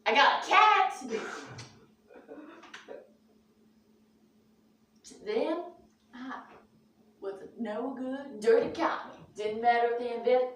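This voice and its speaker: A woman's voice: fairly high pitch, animated, measured pace, a bit bright. A woman voicing an aggrieved, melodramatic male law professor in a mock news interview, emphatic and wounded, in a roomy echoey recording. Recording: roomy echo, distant mic, faint noise.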